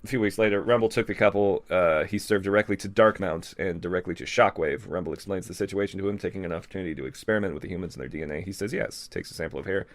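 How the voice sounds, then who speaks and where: A man's voice: sits low, very fast, few pauses, no room sound. A man casually reading aloud and riffing on it with joking, sarcastic asides, as a host. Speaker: host reading a fan fiction aloud with commentary.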